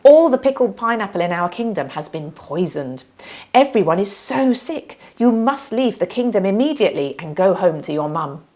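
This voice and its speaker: An earnest young princess from a children's storybook, acted out by a woman reading aloud, swings widely in pitch. She is plucky and exclamatory, quick to worry and keen to scheme. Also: sing-song, darkish tone, close to an everyday voice. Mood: mock alarm.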